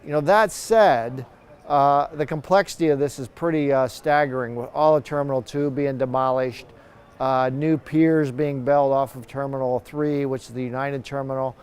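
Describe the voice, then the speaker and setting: A man's voice: mid-range voice, fairly even intonation, normal speed, a little mellow, clean tone. A man speaking as a professor and expert guest, giving conversational, explanatory commentary in a news interview.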